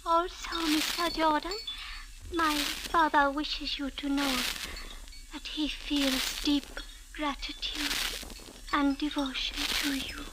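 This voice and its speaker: An actress playing a dutiful young Chinese daughter in an old-time radio drama, formal, measured and reverent. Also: female voice, sits high, little pitch movement. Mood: solemn, demure. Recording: very noisy old radio recording.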